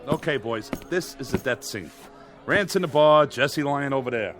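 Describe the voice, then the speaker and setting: Man doing a brisk tired tv director barking orders, lively voice, bit of music under. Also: medium pitch, lightly acted. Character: brisk weary male TV director.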